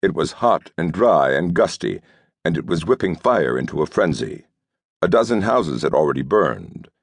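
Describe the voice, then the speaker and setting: A man narrating an audiobook novel in a scripted storytelling style, with a gravelly voice. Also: extremely low pitch, very animated, moderate pace, no echo, studio quality.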